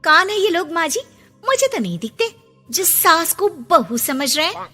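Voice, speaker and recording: A woman's voice: very high-pitched, very animated, unhurried, bright, forceful tone, big-room sound, soft background music, pitched above the performer's own voice, full character voice. A woman voicing a put-upon, resentful young daughter-in-law in a cartoon story, sarcastic and complaining.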